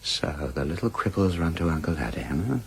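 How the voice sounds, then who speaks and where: An actor in a radio drama playing a defiant, foul-mouthed Nazi brownshirt commander, sardonic and blunt in manner. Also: male voice, very deep voice, sing-song, fairly quick, gravelly, background noise, exaggerated voice. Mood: incredulous, pleading.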